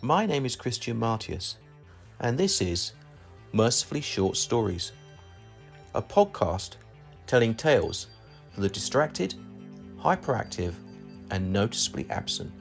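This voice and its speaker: A man's voice: mid-range voice, big pitch swings, measured pace, creaky, long pauses. A man reading a scripted podcast introduction as host and author, over music. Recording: music playing behind the voice.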